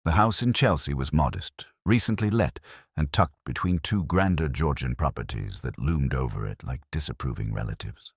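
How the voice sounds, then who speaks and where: A man with an extremely low voice narrates a detective story in measured, literary phrases. His pitch moves expressively up and down, and the sound is clean and dry, recorded close without room echo. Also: lively intonation, breathy edge. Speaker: audiobook narrator. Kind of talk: scripted first-person mystery story narration.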